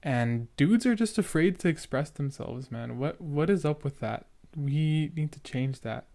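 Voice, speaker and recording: A man's voice: a little high, animated, gravelly, dark tone. A young man giving casual, earnest reaction commentary as a podcast host, stumbling and repeating words now and then. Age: young adult. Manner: halting, stuttering, repeats words.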